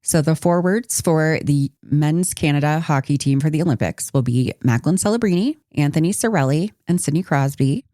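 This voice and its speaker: Low-voiced woman podcaster, slightly raspy. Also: moderate pace, few pauses, mellow, no room sound, clean studio sound. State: sick with a winter cold. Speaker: podcast co-host. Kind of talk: casual hockey podcast chat.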